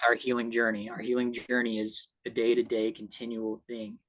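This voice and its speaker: A man's voice: mid-range voice, monotone, moderate pace, a bit bright, rough recording. A man speaking earnestly as a guest coach in a conversational discussion, often checking in with a tag question. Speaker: guest trauma-healing coach.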